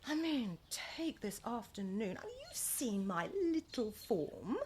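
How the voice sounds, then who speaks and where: A woman's voice: mid-range voice, sing-song, unhurried, a little mellow, a little hiss or hum. Woman acting a gushing British agency boss, wildly swooping voice. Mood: exasperated. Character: gushing, evasive, put-upon woman running a dating agency. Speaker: actor in a radio play. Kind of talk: radio comedy play acting.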